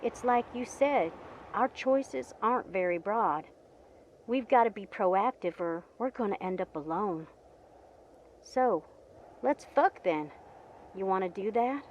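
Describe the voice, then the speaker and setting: A woman's voice: medium pitch, lively intonation, takes it slowly, long pauses. Frank young female survivor played by a woman, motion comic drama, dull recording with faint noise. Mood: frank, casual. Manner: frank, matter-of-fact. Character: blunt, matter-of-fact young woman survivor.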